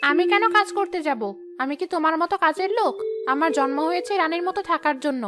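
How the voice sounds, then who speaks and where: A woman's voice: very high-pitched, fast talker, music in the background. A woman playing a vain, spiteful young sister-in-law in a melodramatic cartoon, haughty and taunting. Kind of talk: melodramatic cartoon story dialogue.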